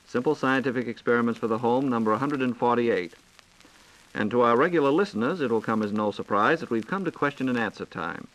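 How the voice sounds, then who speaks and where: A man's voice: medium pitch, rough recording. A man hosting a scripted 1940s radio science program, speaking in a formal, carefully enunciated announcer's way on a vintage broadcast recording. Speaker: radio host posing listener questions.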